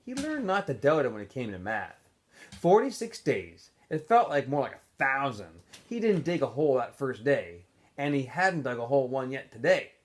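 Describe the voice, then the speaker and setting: Animated man reading a storybook aloud. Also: medium pitch, moderate pace. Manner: animated, acts out dialogue in shifting voices. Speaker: teacher reading a book aloud to students. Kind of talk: expressive storybook narration.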